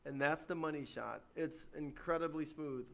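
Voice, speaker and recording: A man's voice: a little high, gravelly. A chatty, upbeat man, a guest on a livestream, greeting viewers and talking about rum. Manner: chatty, upbeat, quick shout-outs. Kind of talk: casual chat, greeting viewers.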